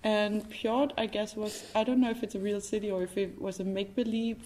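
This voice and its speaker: A woman's voice: mid-range voice, level tone, brisk, darkish tone, rough recording. A woman from Denmark hosting a podcast interview in a theatre, chatting casually and laughing often. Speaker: podcast host.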